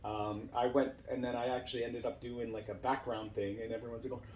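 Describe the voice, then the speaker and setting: A man with a warm, dark-toned voice chats informally, like a host telling stories to a friend. The room adds clear echo, and a faint noise sits behind him. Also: medium pitch, level tone, brisk, dull audio. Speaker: podcast host and actor. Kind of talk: casual chat. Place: room in a home.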